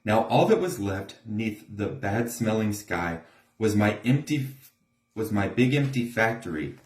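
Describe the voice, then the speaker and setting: A man reads a rhyming story aloud, theatrical and emphatic. His pitch is very animated and his pace unhurried, with clear room echo. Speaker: storybook reader. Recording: echoey room.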